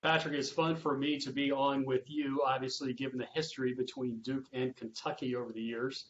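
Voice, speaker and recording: Male roundtable moderator and sports announcer, mid-pitched, conversational, on muffled lo-fi audio. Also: little pitch movement, a little fast, very noisy. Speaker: roundtable host and sports public address announcer.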